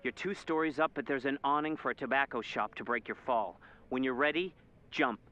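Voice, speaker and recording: An actor playing an alert, earnest male spy handler in an interactive audio game, giving directives in a crisp, urgent manner. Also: mid-range voice, brisk, bright, forceful tone, highs cut off, natural voice. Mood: brisk. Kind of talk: scripted interactive game acting.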